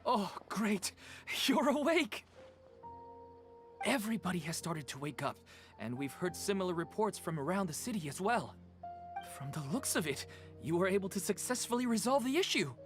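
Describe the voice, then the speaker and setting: A man voicing a male city guard in a video game, brisk and reporting news. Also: sits high, very animated, faint music behind. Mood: relieved.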